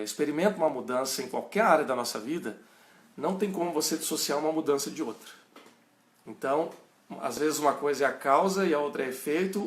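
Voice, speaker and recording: A middle-aged pastor with a caipira accent from the São Paulo and Minas Gerais countryside, with a somewhat high voice for a man. He teaches in a relaxed, conversational way, often checking in with his listeners. The room around him is echoey. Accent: rural São Paulo and Minas Gerais Brazilian. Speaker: pastor teaching a Bible study. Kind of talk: informal teaching answering viewers' questions.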